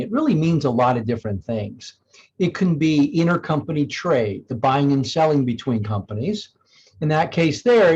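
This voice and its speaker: A man presenting an informal software demo in a conversational, explanatory way, thinking aloud as he goes. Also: fairly high pitch, slightly rough, muffled recording. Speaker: software demonstrator.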